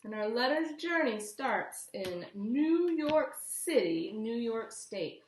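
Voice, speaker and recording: A woman reads a children's picture book in a fairly high, very lively voice. She is expressive and deliberate, pausing to explain things simply as a teacher would. The recording is noticeably roomy and echoing. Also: slight background noise. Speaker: teacher reading aloud to children. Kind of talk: picture book read-aloud with asides.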